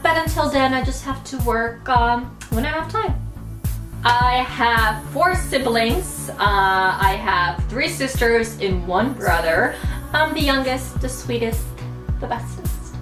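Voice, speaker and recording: A woman's voice: on the high side, lively intonation, slow, reverberant, over music. A young woman, chatting casually to camera as a YouTuber, playful and full of fillers. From United States.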